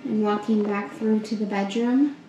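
A young woman giving an upbeat, slow walkthrough of a hotel suite, pointing things out as she goes, recorded on a handheld camera. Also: medium pitch, fairly even intonation, muffled recording, noisy background. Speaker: vlogger giving a room tour. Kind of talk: casual walkthrough narration. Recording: echoey rooms with faint ambience.

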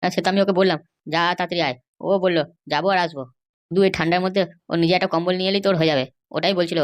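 A woman's voice: fairly low pitch, steady tone, racing, lightly acted. A woman voicing a defiant, hot-headed young married man in a comic cartoon, blustering and argumentative. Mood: eager.

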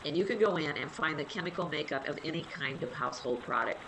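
A woman with a low, slightly nasal voice, stuffed up from a cold, walks listeners through online resources in an easy, conversational way. Her speech is loose and friendly, with plenty of small fillers. The audio carries a clear layer of background noise, as in a web conference. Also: expressive, fast. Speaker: health library liaison presenting a webinar. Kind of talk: casual webinar presentation.

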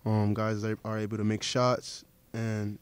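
A young man, a college basketball player, answering reporters after a game in a press room, speaking softly with lots of fillers. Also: on the low side, monotone, unhurried, clean tone, dry recording. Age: young adult. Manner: soft-spoken, many fillers. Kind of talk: postgame press conference answers. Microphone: table mic.

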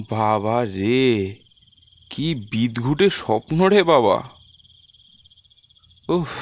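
A man playing a frightened lodger who tells his own ghost story in a dramatic horror cartoon style. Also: fairly high pitch. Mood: uneasy. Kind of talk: dramatised first-person horror cartoon narration. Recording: music under the voice.

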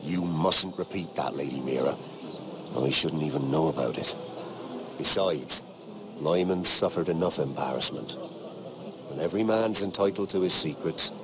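An actor playing a courteous, wary middle-aged lord in a fantasy game, confiding gossip discreetly. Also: male voice, rumbling low, moderate pace, noisy background. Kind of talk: dramatic fantasy game acting.

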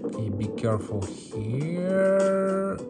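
Slow-paced man commentating over loud music. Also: high-pitched, big pitch swings. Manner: short fragmented phrases, repeats words, hums nonsense syllables. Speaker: gaming video host. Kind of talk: casual mobile gameplay commentary. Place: indoor room, playing on a tablet. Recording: music playing behind the voice. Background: game music.

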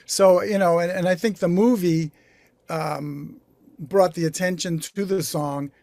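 A man in his sixties with an American accent, talking reflectively in an interview, halting and correcting himself as he goes. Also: high voice, steady tone, creaky, a little mellow, no echo, clean studio sound. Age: 60s. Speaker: songwriter guest. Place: small home recording studio. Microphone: video call.

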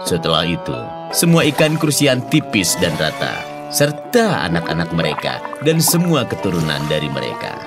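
A man's voice: low-pitched, wildly swooping pitch, normal speed. A man narrating a scripted children's story as a storyteller. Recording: loud music behind the voice.

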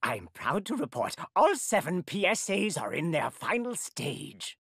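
A high, gravelly, fawning villain. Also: male voice, measured pace, no echo, clean studio sound, heavily acted. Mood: zealous. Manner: oily, eager and flattering. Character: fawning male general, scheming and sycophantic. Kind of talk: video game voice acting.

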